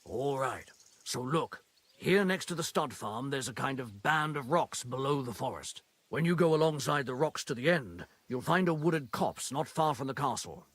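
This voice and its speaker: An actor playing a gruff, wary medieval horse keeper in a video game, with husky, grudging, rustic speech. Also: male voice, medium pitch, lively intonation, normal speed, a bit bright, no echo. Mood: guarded, practical. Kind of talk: video game dialogue acting.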